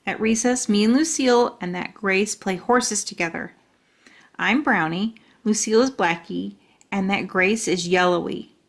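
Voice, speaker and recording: A woman's voice: medium pitch, measured pace. A woman reading a children's storybook aloud as a storyteller.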